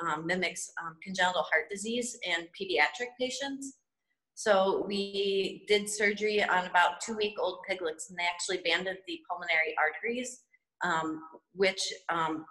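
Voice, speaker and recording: A woman in her forties explains a working facility in an informal, matter-of-fact way. Her voice sits a little low. The hard-walled room adds a strong echo to the phone recording. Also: pushed and bright. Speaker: research facility staff guide. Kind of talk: explanatory virtual facility tour. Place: indoor tiled surgery and recovery rooms. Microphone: handheld phone a few feet away.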